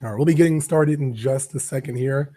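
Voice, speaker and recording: A man's voice: mid-range voice, brisk, no room sound. A man hosting a podcast who has lost his voice, chatting casually and rambling before the show starts. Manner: relaxed, rambling, coughing. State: hoarse, lost voice. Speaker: podcast host. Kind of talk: casual pre-show chat. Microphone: desk microphone.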